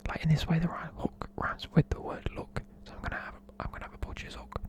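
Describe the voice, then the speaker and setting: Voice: mid-range voice, wildly swooping pitch, fast talker, gravelly. A person with a British accent whispering informal, chatty, matey explanations in an ASMR style. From United Kingdom. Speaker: presenter explaining slang.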